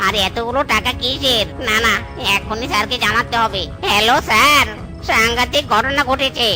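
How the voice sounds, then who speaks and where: A woman's voice: on the high side, normal speed, over music, close to an everyday voice. A woman voicing an alarmed, dutiful orphanage worker in a children's cartoon.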